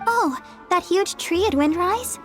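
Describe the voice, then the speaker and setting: A woman's voice: very high voice, lively intonation, a little slow, faint music behind. An actress voicing a cheerful, caring young female church healer in a video game. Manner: sweet, warm and fussing.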